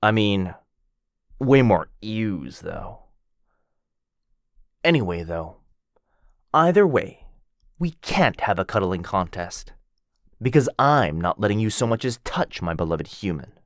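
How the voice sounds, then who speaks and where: A man's voice: on the low side, very animated, slow, long pauses, no echo, clean studio sound. A man voicing a cocky, jealous werewolf who mocks his rival and teases with a lot of bounce. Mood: wary. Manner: cocky, mocking, bouncy teasing. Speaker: voice actor in boyfriend roleplay. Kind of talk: ASMR roleplay acting.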